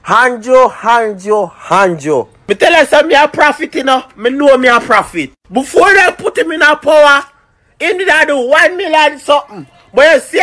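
A young man with a Jamaican accent giving a loud, emphatic rant into a phone held at arm's length. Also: very high voice. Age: young adult. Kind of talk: animated social media rant.

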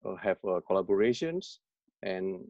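A man in his forties with an Indonesian accent giving informal, halting remarks on a video call. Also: low-pitched, lively intonation, dry recording. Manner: halting, hesitant phrasing. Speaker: community organisation representative. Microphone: earphones on a video call. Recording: muffled, dull video-call audio.

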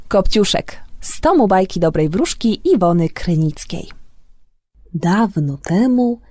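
A woman hosting a children's bedtime radio show, presenting and telling stories in an expressive way for young listeners. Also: mid-range voice, dry recording, clean studio sound. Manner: expressive, drawn-out delivery for children. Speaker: radio host and storyteller. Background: music.

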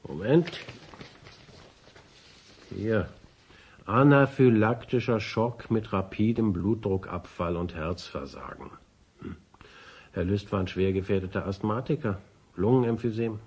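Casting: curt, evasive cop for radio drama. Low register, husky grain, dry sarcasm. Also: male voice, a little mellow. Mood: guarded, official. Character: brusque, evasive male police officer. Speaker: police officer. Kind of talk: naturalistic German radio drama acting.

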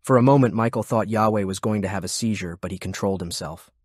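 A man's voice: fairly low pitch, fast talker, darkish tone, no room sound, clean studio sound. A man reading scripted narration as an audiobook narrator.

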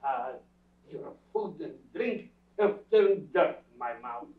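An affected, self-pitying freeloader artist in an old radio comedy, played by a man. His high, swooping voice sounds theatrical and wounded, far from the mic in an echoey theatre. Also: lively intonation, slow pace. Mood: wounded, uneasy. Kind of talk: staged old-time radio comedy acting. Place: indoor theatre stage, distant camera. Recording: distant, echoey, dull theatre stage recording.

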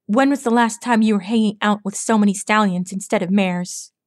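A woman's voice: medium pitch, brisk, no room sound, studio quality, close to an everyday voice. A woman voicing a grumpy, bookish young male earth pony who grumbles in a proud, well-spoken way. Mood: grumbling.

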